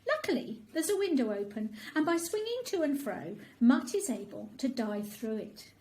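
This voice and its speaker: Lively, high-voiced woman reading a bedtime story. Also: moderate pace. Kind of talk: expressive bedtime story narration for children.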